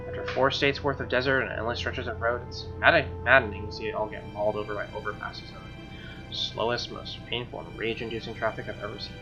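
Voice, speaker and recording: A man reading visual novel narration aloud as a let's player, expressive, with casual asides and reactions. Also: mid-range voice. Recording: dull lo-fi mic, music clearly behind. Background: game music.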